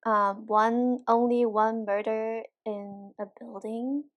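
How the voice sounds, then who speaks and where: Slow-talking young woman, high voice. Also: little pitch movement, muffled recording, a crowd in the background. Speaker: podcast host. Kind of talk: casual chat.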